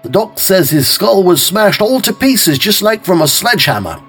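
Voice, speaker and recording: A man voicing hungry, chatty policemen who talk in a casual, blunt way, with a husky voice. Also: sits high, sing-song, normal speed, a bit bright, soft background music, voice pushed up, exaggerated voice.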